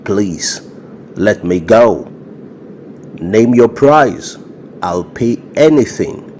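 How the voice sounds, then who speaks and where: A cornered gang boss acted by a man pleads his case in a put-on American accent. His voice is low and aggrieved, in comedy skit style, over faint noise. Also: lively intonation, drawn-out words, darkish tone. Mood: begging. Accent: affected American.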